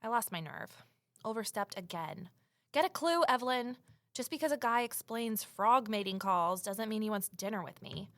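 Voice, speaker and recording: A woman's voice: a little high, expressive, normal speed, fairly bright tone, no room sound, clean studio sound. An actress playing an earnest, self-doubting young woman sleuth in an audio drama, speaking in a wry, conversational way. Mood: rueful, self-mocking. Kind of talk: naturalistic audio drama acting and first-person narration.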